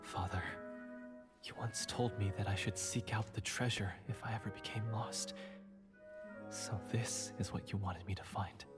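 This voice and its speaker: An actor playing a remorseful young knight in a video game, speaking earnestly. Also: male voice, on the low side, fairly even intonation, lightly acted. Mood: tender. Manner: earnest, measured. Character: remorseful young male knight seeking redemption. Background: game music.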